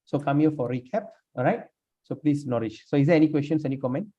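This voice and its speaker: A man leading a scripture class, speaking haltingly with many fillers and restarts while he has lost his voice. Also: on the high side, mellow, breathy edge. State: lost his voice, sprained neck. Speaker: class leader. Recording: dull lo-fi room recording.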